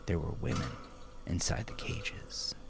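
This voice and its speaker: A man narrating dark fiction in a dramatic, measured, brooding way, with phrase endings that drop to a hush. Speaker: audiobook-style narrator. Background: music.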